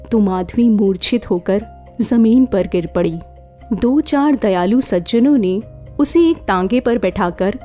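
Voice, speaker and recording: A woman narrates a literary short story at an unhurried, deliberate pace, giving each phrase dramatic weight. Her voice is dark and mellow. Faint music plays beneath her. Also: mid-range voice. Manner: slow, measured, dramatic storytelling. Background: faint background music.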